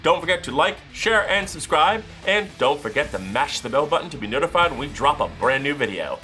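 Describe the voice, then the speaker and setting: A man with a very deep voice reviewing gear in a casual vlog, talking in a relaxed way with many uhs. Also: a little fast, faint music behind. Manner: relaxed, filler-heavy talk with many uhs.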